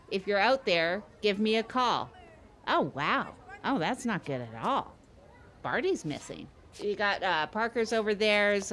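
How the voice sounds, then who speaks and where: A woman's voice: medium pitch, a bit bright. A woman playing an agreeable, hesitant small-town podcast host who rambles and repeats reassurances in improvised comedy chat.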